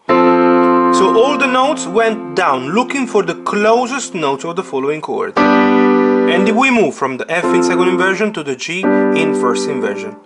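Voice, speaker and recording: Male piano teacher, fairly high voice, very animated intonation, emphatic step-by-step explaining, piano chords behind. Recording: piano playing alongside the voice.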